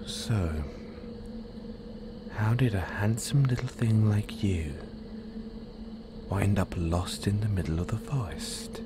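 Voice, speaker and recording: A man playing a playful, menacing, possessive werewolf in an audio roleplay, teasing the listener in a breathy, half-whispered, predatory way. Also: lowish voice, slow pace, pauses often, noisy background. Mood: teasing, sinister.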